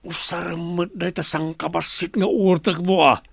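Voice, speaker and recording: A man's voice: high-pitched, little pitch movement, moderate pace, gravelly, slightly rough, no room sound, exaggerated voice. Curt, commanding male crime boss, acted out. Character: bossy, secretive crime boss ordering an underling. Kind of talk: Ilocano radio drama acting.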